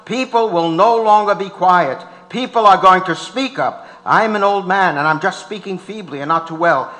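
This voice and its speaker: Guy acting as an indignant old activist in court, high bright voice, big echoey auditorium. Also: moderate pace. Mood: impassioned. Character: defiant old male activist on trial, indignant, principled. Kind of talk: staged reading of a court transcript. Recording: reverberant auditorium.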